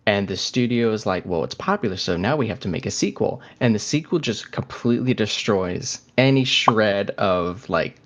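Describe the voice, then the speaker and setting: Low-voiced man chatting casually. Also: animated, normal speed, hardly any pauses, fairly bright tone. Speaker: podcast co-host. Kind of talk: casual chat.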